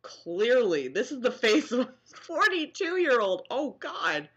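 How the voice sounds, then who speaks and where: A young American woman reacting casually to comments she reads aloud, sarcastic and animated, at home on a webcam mic. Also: a little high, slow, breathy edge, highs cut off. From New Jersey, US. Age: young adult. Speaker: vlogger. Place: small room at home, indoors.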